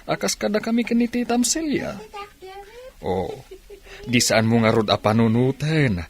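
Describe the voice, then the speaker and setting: Man as devoted reassuring father, audio drama acting, medium pitch, very animated intonation. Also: a little slow. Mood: encouraging, emotional.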